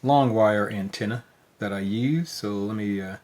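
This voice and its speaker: A man hosting a hobby video in a casual, unscripted way, talking hesitantly with frequent pauses. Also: fairly low pitch, darkish tone. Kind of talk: casual unscripted show-and-tell. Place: at home indoors, later outside in a yard. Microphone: handheld phone.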